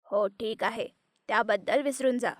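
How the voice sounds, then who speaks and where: A woman voicing a stern, greedy middle-aged mother-in-law who scolds harshly, in a dry-sounding animated storybook. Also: fairly high pitch, moderate pace, dry recording, voice pushed down, lightly acted. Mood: scolding.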